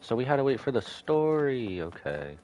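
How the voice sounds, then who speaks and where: A man's voice: medium pitch, sing-song, normal speed, darkish tone, faint music behind. A man doing casual live game commentary as a streamer.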